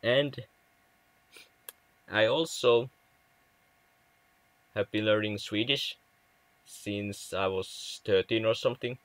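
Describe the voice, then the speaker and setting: A man's voice: on the low side, slow pace, long pauses, no room sound. A young man from Finland with a Finnish accent, chatting casually with viewers on a game livestream, often stumbling and restarting words. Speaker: livestream gamer.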